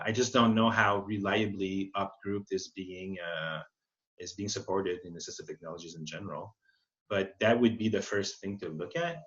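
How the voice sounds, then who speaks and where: A man's voice: lowish voice, a bit bright. A man explaining as an expert in an informal webinar Q&A, thinking aloud and rephrasing as he goes. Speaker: accessibility expert presenting a webinar. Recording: muffled, band-limited webinar audio.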